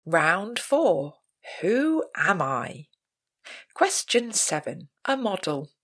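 Female pub quiz host, scripted read, unhurried pace with animated rises and falls. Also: mid-range voice, breathy edge.

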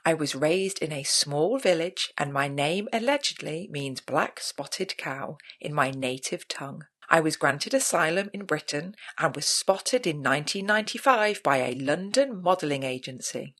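A woman reading out a scripted pub quiz as host, in a measured, announcing manner. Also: low-pitched, lively intonation, fairly bright tone.

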